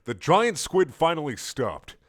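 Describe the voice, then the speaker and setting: A man narrating comic fiction from a script in a theatrical, measured, storytelling way. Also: on the high side, very animated. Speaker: narrator reading a fan story.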